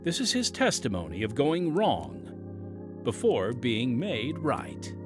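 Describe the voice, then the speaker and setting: A man's voice: medium pitch, wildly swooping pitch, slow. A man hosting as announcer, reading dramatic scripted radio narration with folksy, emphatic showmanship. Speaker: announcer and host. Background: music.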